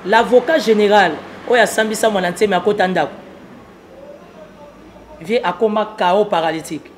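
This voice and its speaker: High-pitched, emphatic Congolese man. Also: normal speed, slight background noise. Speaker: political analyst being interviewed. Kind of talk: political commentary in an interview.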